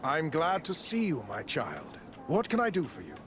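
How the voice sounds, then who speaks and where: A man's voice: fairly high pitch, wildly swooping pitch, moderate pace, over music. An actor playing a fatherly, welcoming priest in a video game, speaking formally and benevolently. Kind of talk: video game cutscene acting.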